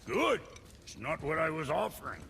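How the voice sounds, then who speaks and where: Grumpy fantasy-game grouch, high creaky voice, swooping pitch, sardonic, irritable, long echo. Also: male voice, big pitch swings, moderate pace, bright, forceful tone, slight background noise, full character voice. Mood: sarcastic, grumpy. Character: foul-mouthed, cantankerous, sarcastic grouch in fantasy game.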